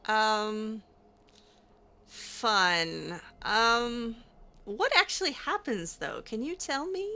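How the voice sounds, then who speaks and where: A woman with a bright, highish voice, role-playing through a video game. She talks slowly in a loose, improvised way, casual and dryly sarcastic, often hesitating. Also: expressive. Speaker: gaming host role-playing her character. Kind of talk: improvised in-character playthrough chat.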